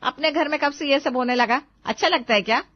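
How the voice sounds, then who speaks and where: A woman's voice: high voice, a little fast, dry recording, dull audio, natural voice. A woman playing a caring, thrifty middle-aged mother in a cartoon moral story, reasoning and scolding in a homely way. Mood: indignant.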